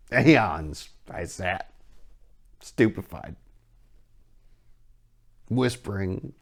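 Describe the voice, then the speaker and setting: A man playing a haunted survivor recounting a horror, theatrical and halting, swelling from hushed to anguished. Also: mid-range voice, vocal fry, pitched above the performer's own voice, exaggerated voice. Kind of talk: dramatic horror story reading.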